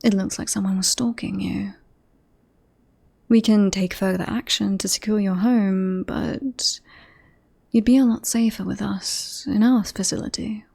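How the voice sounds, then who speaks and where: A woman playing a devoted bodyguard in an ASMR roleplay, politely reassuring and professional on the surface, with an obsessive streak slipping into hushed intimacy. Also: medium pitch, fairly even intonation, measured pace, gravelly, husky. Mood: flustered, covering up. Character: devoted yandere bodyguard woman, composed front over creepy obsession.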